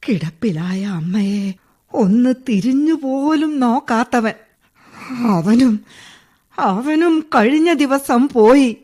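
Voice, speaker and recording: An actress playing an old bedridden mother in a radio play, speaking slowly in a husky, resigned voice. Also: on the high side, lively intonation, darkish tone. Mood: bitter. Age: older. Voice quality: husky, slow and heavy. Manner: resigned, tearful at times. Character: old bedridden widowed mother, weary and bitter. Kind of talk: radio drama acting.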